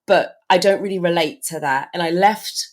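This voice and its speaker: Podcast guest: woman, low pitch, British-sounding accent, chatty and laughing. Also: moderate pace. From Turks and Caicos Islands. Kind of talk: casual interview chat.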